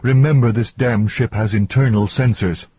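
A man's voice: mid-range voice, steady tone, moderate pace, warm tone, breathy edge, no echo, lo-fi sound, close to an everyday voice. A man voicing a cool, precise woman scientist who corrects others matter-of-factly in an audiobook. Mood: cautioning. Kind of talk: audiobook character dialogue.

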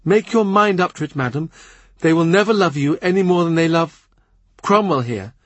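A man's voice: on the high side, lively intonation, moderate pace, dry recording, voice pushed up. A man putting on a blunt, lecturing court lady.

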